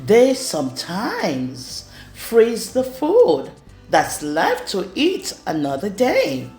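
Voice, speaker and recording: A woman reading a rhyming children's storybook aloud in an expressive way. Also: lowish voice. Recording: roomy echo with music underneath. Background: music.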